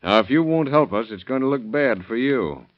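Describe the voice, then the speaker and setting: An actor in a 1930s radio crime drama playing a shrewd, no-nonsense American police detective, brisk and probing. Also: male voice, medium pitch, animated, close to an everyday voice. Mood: stern, pressing. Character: shrewd, businesslike male police detective pressing suspects. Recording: muffled vintage 1930s radio recording.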